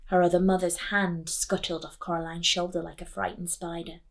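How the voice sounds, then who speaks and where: A woman teacher reading a children's story aloud expressively, deliberately and with dramatic pauses. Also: on the low side, little pitch movement, gravelly.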